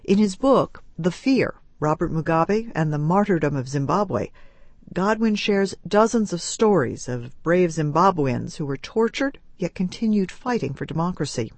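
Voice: mid-range voice, animated, normal speed, darkish tone, no room sound. A reporter reading a scripted news feature narration.

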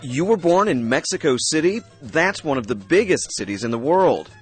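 A man playing a friendly young male college student from a small town in a scripted lesson dialogue, husky and eager with his questions. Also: a little high, sing-song, normal speed, soft background music. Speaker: dialogue actor.

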